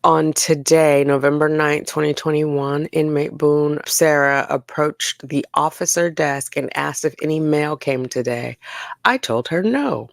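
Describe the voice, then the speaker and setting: Commentary host, gravelly fry, dry sound, theatrical reading, sassy mock-incredulous asides. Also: medium pitch, unhurried, no room sound. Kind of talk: dramatic reading of jail reports with casual asides.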